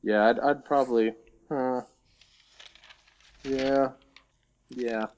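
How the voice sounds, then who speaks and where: A man's voice: medium pitch, fairly even intonation, extremely slow, a little mellow. A man co-hosting a podcast in casual chat, agreeable, chiming in with brief interjections. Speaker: podcast co-host.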